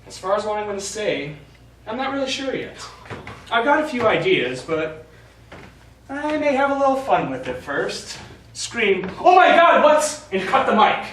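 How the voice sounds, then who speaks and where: A man plays a dreamy, joking American astronaut on stage. His voice is fairly high and varied, with emphatic delivery. It is heard from a distance in a very echoey room. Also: sing-song, moderate pace, fairly bright tone, slight background noise. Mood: playful. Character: eager American male astronaut, dreamy, joking mission leader. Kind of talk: stage play dialogue. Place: small studio theatre, recorded from afar.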